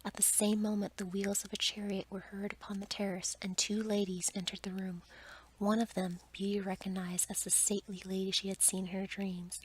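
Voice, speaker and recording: A woman reading a bedtime story in a soft, breathy ASMR whisper, gentle and hushed. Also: mid-range voice, little pitch movement, fairly quick, slight background noise, low-quality recording.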